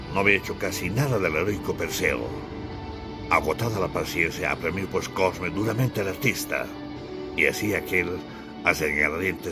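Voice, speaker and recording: A man from Bolivia narrating a literary audiobook in a solemn, theatrical storytelling manner. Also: low-pitched. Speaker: author and narrator.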